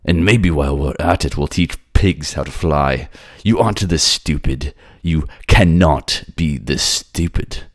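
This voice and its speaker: A man's voice: rumbling low, measured pace, hardly any pauses, no echo, clean studio sound. A man voicing an obsessive, volatile king in an audio romance drama, speaking with dramatic intensity and a put-on accent. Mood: scornful, bitter.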